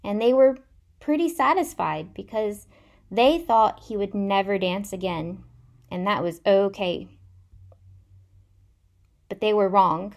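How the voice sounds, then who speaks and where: A woman in her thirties telling a Bible story in simple, measured phrases, recorded in a room at home. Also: medium pitch, vocal fry. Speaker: church storyteller. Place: small indoor room at home. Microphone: laptop or webcam at arm's length.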